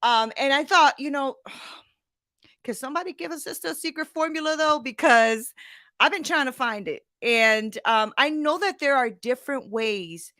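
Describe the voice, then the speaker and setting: A woman's voice: sits high, a little slow, pushed and bright, no echo, clean studio sound. A woman in her forties hosting a conversational motivational monologue, emphatic and blunt, with slangy phrasing and frequent rhetorical check-ins. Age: 40s. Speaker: podcast host and coach.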